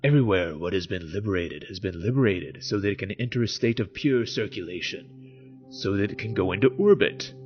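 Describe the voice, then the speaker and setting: A man's voice: on the low side, lively intonation, a little fast, dull audio. A man hosting an informal video essay, explaining and dramatically reading philosophy with emphatic, theatrical delivery. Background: music.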